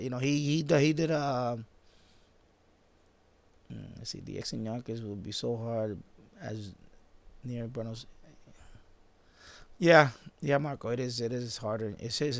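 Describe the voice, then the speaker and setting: A man chatting off the cuff on a live stream, halting and repeating himself as he circles back to his points. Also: mid-range voice, creaky, fairly bright tone, no echo. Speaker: live-stream host, radio hobbyist. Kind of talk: casual unscripted live-stream chat.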